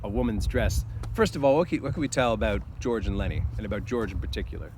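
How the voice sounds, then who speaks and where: A man's voice: fairly low pitch, expressive, fast, noisy background. A man reading a novel aloud as a teacher, breaking off for informal commentary and thinking aloud. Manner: casual, thinking aloud, frequent throat clearing.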